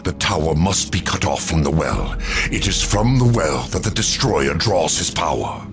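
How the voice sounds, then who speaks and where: Grave ancient angel, gravelly, rises and falls a lot, solemn hushed game cutscene. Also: male voice, a bass voice, big pitch swings, over music, heavily acted. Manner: solemn, measured, urgent hushed pleading. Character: ancient weary male Angel of Death, grave. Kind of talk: video game cutscene acting. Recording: game score under the voice.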